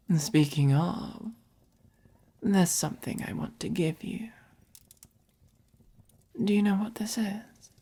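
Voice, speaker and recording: Soft dom vampire for ASMR roleplay, female performer, English accent, breathy whisper with a creaky edge, unhurried, possessive yet tender. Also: lowish voice, heavily acted. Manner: slow, coaxing, possessive. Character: doting, possessive, gently dominant vampire owner of a human pet.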